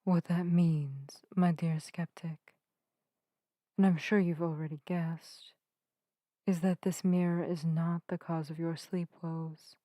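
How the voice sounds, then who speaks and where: Soft, mellow, low-voiced gentle witch played by a woman. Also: flat delivery, takes it slowly, no room sound, studio quality. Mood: calm, explaining. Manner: soft-spoken, soothing, old-fashioned formal phrasing. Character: gentle, earnest young witch healer, reassuring and formal. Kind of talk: narrative ASMR roleplay addressed to the listener. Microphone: close microphone in a dry space.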